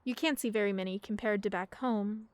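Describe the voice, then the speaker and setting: A woman playing a shy teenage girl in a radio play, speaking softly and hesitantly. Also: medium pitch, a little fast, no echo, natural voice. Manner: quiet, hesitant, soft-spoken. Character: shy teenage girl, new in town, quiet. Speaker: daughter.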